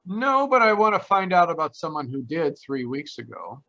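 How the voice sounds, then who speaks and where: A man playing a wry, blunt private detective in a 1940s radio mystery, with a deadpan, wisecracking delivery. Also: a little high, normal speed, creaky, exaggerated voice. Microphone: video call. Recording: dull lo-fi video call audio.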